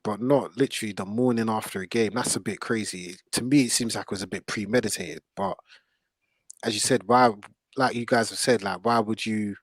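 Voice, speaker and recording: A man's voice: fairly low pitch, dry recording. A man hosting a casual football discussion in informal, slangy speech. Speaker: podcast host.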